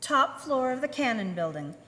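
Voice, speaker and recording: A woman's voice: sits high, very animated, moderate pace, sharp tone, full character voice. A woman playing a puzzled, apologetic young-lady crime victim in old-time radio drama style. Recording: live stage, reverberant church hall.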